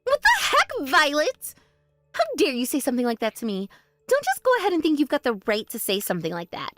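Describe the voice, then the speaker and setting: A bratty younger sister who brags and sneers, her high voice leaping all over the place, as a woman acts her out. The recording is dry and close, with no room sound. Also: huge pitch swings, brisk, a bit bright, no room sound, faint music behind, exaggerated voice. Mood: indignant. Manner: mocking, spiteful bragging. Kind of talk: voice drama, one performer.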